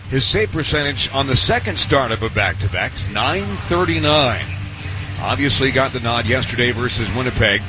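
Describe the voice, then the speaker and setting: A man's voice: medium pitch, poor audio. A man with a North American accent calling live ice hockey play-by-play on the radio, in clipped running description with dry asides. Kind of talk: live sports play-by-play commentary. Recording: live sports radio broadcast, loud arena noise. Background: loud arena ambience.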